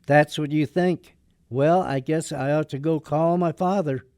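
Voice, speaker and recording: A man's voice: on the high side, steady tone, unhurried, darkish tone, clear, resonant tone, no echo, studio quality. A man playing a decent, wry and somewhat hesitant young man in a radio drama. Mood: gloomy, wry. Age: young adult. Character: decent, gloomy young man under his father's thumb. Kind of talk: radio drama acting and narration.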